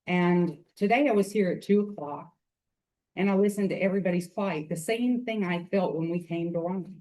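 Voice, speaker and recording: A woman's voice: lowish voice, normal speed, warm tone, poor audio. A woman with a Memphis, Tennessee accent giving earnest, rambling public comment at a hearing, speaking into a microphone. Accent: Southern US, Memphis Tennessee. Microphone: podium microphone.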